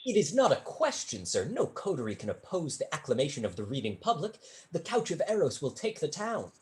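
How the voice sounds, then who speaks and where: Here is a young actor performing a brazen, silver-tongued male tutor from a period stage comedy. The pitch swoops freely through long, elaborately formal sentences. The manner is arch, witty and smoothly flattering. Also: a little fast. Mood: flattering, sly. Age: young adult. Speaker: student actor.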